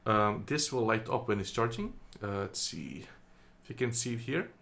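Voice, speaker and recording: Casual low-voiced man reviewing a gadget. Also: normal speed, gravelly, fairly bright tone. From Netherlands. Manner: chatty, hesitant, many ums and self-corrections. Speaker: product reviewer. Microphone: phone camera.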